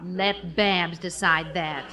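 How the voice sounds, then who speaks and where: A woman's voice: fairly low pitch, steady tone, slow, bright, forceful tone. A woman playing a sensible, exasperated middle-aged American wife and mother in a 1950 radio sitcom. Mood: reasoning. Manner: exasperated, reasoning. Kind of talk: 1950s radio sitcom acting. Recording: vintage 1950 radio broadcast recording.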